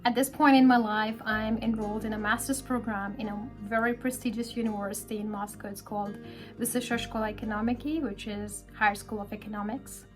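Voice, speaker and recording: Woman from pakistan, vlog voice-over, friendly storyteller, slightly high voice, soft music behind. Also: fairly even intonation, brisk. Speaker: English teacher and speaking club organizer. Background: soft background music.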